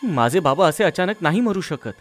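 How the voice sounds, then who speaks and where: A man's voice: a little high, animated, fairly quick, noisy background, natural voice. A man playing an anxious, frightened young son in a horror story, speaking urgently and dramatically. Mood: suspicious, anxious. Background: loud background music.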